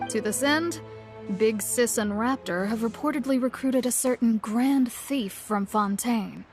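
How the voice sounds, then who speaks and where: Poised, high-voiced female guild receptionist. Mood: courteous, informative. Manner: measured, courteous, explanatory. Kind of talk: anime game voice acting. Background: game music.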